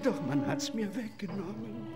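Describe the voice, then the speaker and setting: An actor playing a gleeful, menacing sick clown villain in a dubbed video game, with gravelly cackling and a mocking, theatrical delivery. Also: male voice, sits high, lively intonation, moderate pace. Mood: sly, mocking. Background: music.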